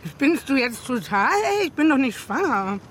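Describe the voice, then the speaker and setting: A woman's voice: sits high, animated, moderate pace, a bit bright, a little hiss or hum. An actress playing a brash, agitated young woman in a naturalistic radio drama, speaking in blunt, clipped slang. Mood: irritated, defensive.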